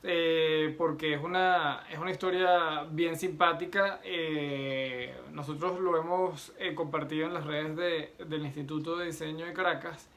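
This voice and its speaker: A man's voice: on the high side, fairly even intonation, unhurried, hardly any pauses, fairly bright tone. A man moderating a conversational interview, politely and with hesitant phrasing.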